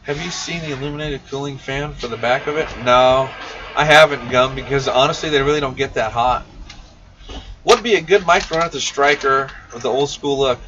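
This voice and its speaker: Distant muffled recording, background noise, low-voiced man, folksy livestream host, slangy, casual question-and-answer chat. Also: brisk. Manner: folksy, slangy, talking straight to viewers. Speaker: host of a CB radio shop live stream. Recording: muffled, noisy, distant-mic livestream audio.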